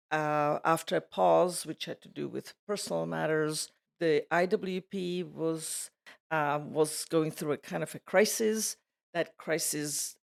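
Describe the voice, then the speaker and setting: Older woman, Slovak native, layered Slovak-Swedish-English accent; gravelly edge, reflective interview guest. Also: low voice. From Czechoslovakia. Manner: thoughtful, digressive, laughs easily. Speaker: interviewee, retired program editor. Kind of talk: casual reflective conversation.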